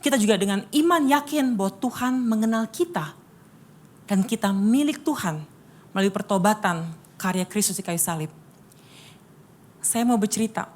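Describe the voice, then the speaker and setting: A woman from Bali with a lightly Balinese-tinged Indonesian accent, giving a sermon-style workshop talk as a lively storyteller who speaks directly to her audience. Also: mid-range voice, moderate pace, long pauses, slight background noise.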